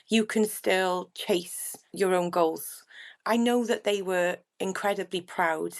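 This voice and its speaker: Earnest woman telling her story. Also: fairly low pitch. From Wales. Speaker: guest singer-songwriter. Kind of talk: casual interview chat.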